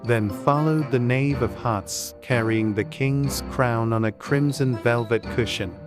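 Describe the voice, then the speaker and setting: A man's voice: mid-range voice, measured pace. A man reading scripted storybook narration as an audiobook narrator. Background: faint music.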